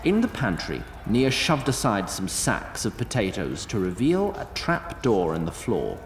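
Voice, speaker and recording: A man narrating a scripted fantasy story in a measured, literary way. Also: lowish voice, wildly swooping pitch. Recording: roomy sound, faint noise.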